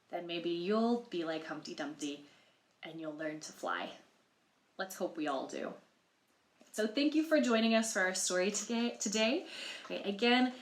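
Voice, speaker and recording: A woman reading a picture book aloud to children, expressively, with explanatory asides and questions for the listeners. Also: mid-range voice. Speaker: library storytime reader. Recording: roomy, echoey sound.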